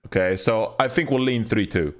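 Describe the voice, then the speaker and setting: A man's voice: fairly low pitch, moderate pace. A man hosting a casual esports panel, moderating and talking analytically through match predictions. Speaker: podcast host.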